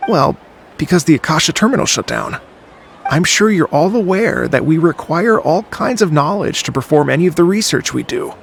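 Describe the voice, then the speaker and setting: A man's voice: a little high, expressive, moderate pace, vocal fry, a little hiss or hum. A man voicing an easygoing young male researcher in a game, chatty but hesitant and stammering. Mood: explaining. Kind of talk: game voice acting.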